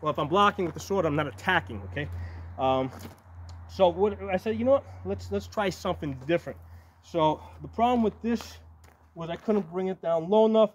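Guy in his 40s explaining gear to camera, voice a bit high, some outdoor noise behind him. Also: a little fast. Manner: explanatory, repeats and checks with "right?" and "okay?". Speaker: medieval combat fighter demonstrating shields. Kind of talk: casual hands-on demonstration to camera. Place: outdoors on a gravel yard by woods. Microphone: camera a few metres away. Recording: noisy outdoor camera audio.

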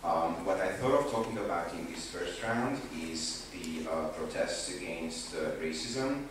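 A young man with a Hungarian accent speaking on a conference panel, slow and deliberate, with many hesitations and restarts. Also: sits low, lively intonation. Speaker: panelist, activist. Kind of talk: panel discussion talk. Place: large indoor conference room, seated on a sofa panel. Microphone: handheld mic, recorded from a far camera. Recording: echoey hall from far off with background noise.

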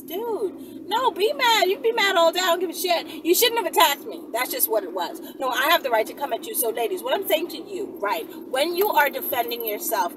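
A woman in her thirties giving casual advice to camera in a blunt, emphatic, sweary way. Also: sits high. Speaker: vlog host. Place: inside a car on the road. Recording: distant mic, clear car road noise. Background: vehicle noise.

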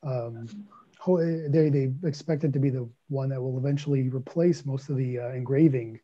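A man's voice: mid-range voice, steady tone, normal speed. A man in his thirties or forties giving an informal update at a board meeting over a video call. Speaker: board member reporting. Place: small room at home on video call. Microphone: computer mic on video call. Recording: muffled video call audio.

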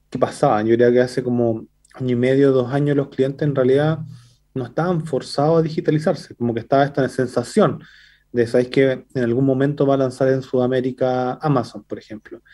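A young man with a Chilean accent talks quickly and fluently over a video call, stringing his points together with fillers. His voice is dark and mellow. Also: steady tone. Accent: Chilean Spanish. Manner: fast, fluent pitch, many fillers like "entonces" and "eh". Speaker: startup CEO guest. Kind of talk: business interview by video call. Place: indoor room.

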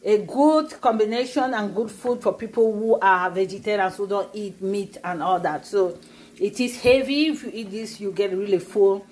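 A woman's voice: mid-range voice, normal speed, a little mellow. Middle-aged woman, cooking vlog host, talks casually through steps in her kitchen on a phone camera. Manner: chatty, explains step by step with many fillers. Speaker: cooking vlogger. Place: home kitchen.

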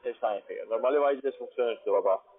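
A man's voice: medium pitch, fast talker. Non-native man on a tinny phone line. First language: not German. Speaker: call centre sales agent. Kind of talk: phone sales call in halting non-native German.

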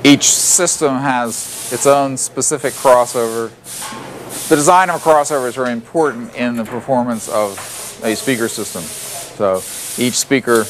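A man's voice: medium pitch, fairly bright tone, rough recording. A man guiding a walking tour through a factory, explaining each step plainly with frequent fillers and restarts. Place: loud factory floor. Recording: factory noise behind voice.

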